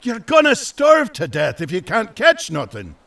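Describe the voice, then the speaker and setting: An actor playing a gruff but kindly old fisherman in a fantasy audio drama, with a folksy, muttering, rustic way of talking. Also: sits high, wildly swooping pitch, unhurried, a bit bright, far from the mic, full character voice. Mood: exasperated.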